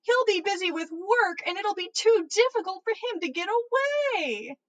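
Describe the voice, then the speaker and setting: A woman voicing a melodramatic schoolgirl witch in a fan story, with shrill, drawn-out, overwrought delivery. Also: animated, fairly bright tone. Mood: loud despair.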